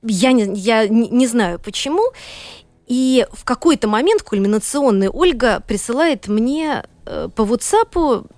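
A woman's voice: fairly high pitch, expressive, a little slow, darkish tone, no echo. A middle-aged woman from Saint Petersburg, a teacher and economist, talking as a guest in an interview. She tells her story earnestly and with emphasis, with frequent hesitations. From Saint Petersburg, Russia. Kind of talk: studio interview conversation.

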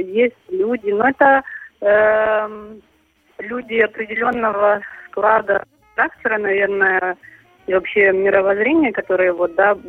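A woman's voice: medium pitch, no room sound. A woman from Ukraine answering interview questions in casual, hesitant talk full of fillers and restarts. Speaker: interview guest. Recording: tinny phone line.

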